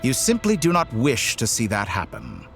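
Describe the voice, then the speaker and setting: An actor playing an urbane, condescending video game villain with a formal, theatrical, smugly polite delivery. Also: male voice, medium pitch, huge pitch swings, normal speed, vocal fry, fairly bright tone, faint music behind, heavily acted. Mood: smug, coaxing. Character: urbane, condescending male villain with godlike powers.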